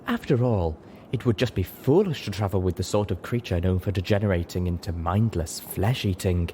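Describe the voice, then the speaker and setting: A man's voice: low-pitched, expressive, brisk, dark tone, a little hiss or hum. A man voicing a ladylike female unicorn, drawling and casually cutting, in an audiobook. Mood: sarcastic. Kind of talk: audiobook character voice.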